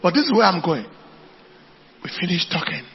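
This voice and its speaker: A man's voice: high voice, lively intonation, fairly quick, vocal fry, muffled recording, faint music behind. A man with a Nigerian accent preaching and telling anecdotes, driving points home with emphatic repetition. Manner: emphatic repetition, declaiming to congregation. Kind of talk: animated sermon with anecdotes.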